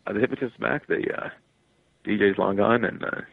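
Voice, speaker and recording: Man, lowish voice, thin phone-quality audio, casual storytelling, interview guest. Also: brisk, vocal fry. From Canada. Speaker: interview guest, stage entertainer. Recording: tinny phone-like line, dry.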